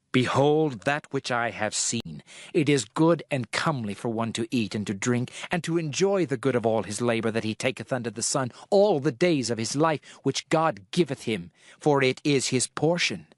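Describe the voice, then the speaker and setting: A man giving a dramatized reading of King James scripture in a solemn, measured, deliberate way. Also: medium pitch, dry recording, studio quality. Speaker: scripture narrator. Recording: music behind the voice. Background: music.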